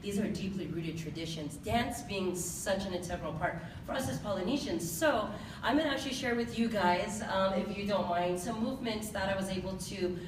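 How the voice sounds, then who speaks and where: Woman, island-raised choreographer, loud teaching voice; distant, roomy, noisy live recording. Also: mid-range voice, normal speed, few pauses. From Pacific Islands. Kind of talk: live event interview and demonstration. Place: large echoey event hall. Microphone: distant handheld phone recording. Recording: echoey hall from far off, noisy.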